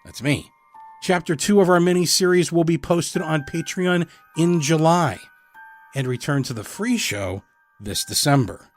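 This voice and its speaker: A man's voice: a little high, a little slow. A man who produces a story podcast, reading scripted credits with casual asides. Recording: faint music behind the voice.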